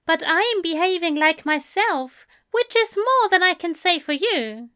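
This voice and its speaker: A woman's voice: very high voice, expressive, measured pace, pushed and bright, pitched above the performer's own voice, full character voice. A woman voicing a prim, anxious male angel in an audiobook story, speaking in a precise, earnest and pleading manner. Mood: firm, indignant.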